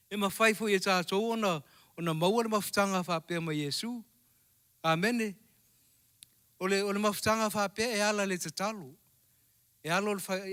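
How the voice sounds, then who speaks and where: A man's voice: high voice, a bit bright. A man with a Samoan accent preaching a bilingual sermon at a church service, conversational and checking in with the congregation. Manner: conversational preaching with tag questions. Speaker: pastor.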